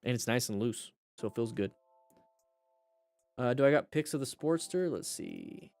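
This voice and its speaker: Guy chatting on a livestream, kinda blunt and jokey, animated, normal pitched voice, music in the background. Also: moderate pace, dry recording. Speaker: livestream host; firefighter. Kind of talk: casual livestream chat, answering viewers.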